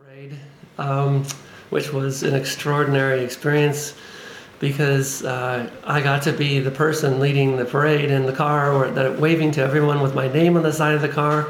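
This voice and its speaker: A man's voice: medium pitch, level tone, normal speed, echoey room, slight background noise, rough recording. A man in his forties from Canada, a singer-songwriter guest, talking casually and hesitantly with many fillers. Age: 40s. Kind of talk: casual interview.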